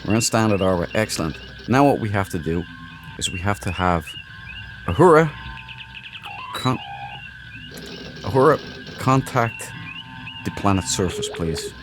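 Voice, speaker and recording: A man's voice: lowish voice, expressive, measured pace, pauses often. A man giving casual let's play commentary, explaining things in a chatty, informal, rambling way. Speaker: gaming video host. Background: game music.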